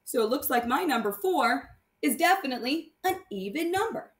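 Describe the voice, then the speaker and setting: A woman teaching primary math to young children, reading aloud and explaining deliberately with sing-song emphasis on key words. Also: high-pitched, lively intonation, roomy sound.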